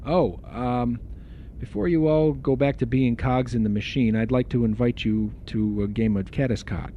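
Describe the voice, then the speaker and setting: A man playing an affable, wry starship commander in a fan audio drama, talking in a relaxed, informal way. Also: mid-range voice, moderate pace, dull audio, slight background noise, close to an everyday voice. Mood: friendly, casual. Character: affable, curious, teasing male starship commander.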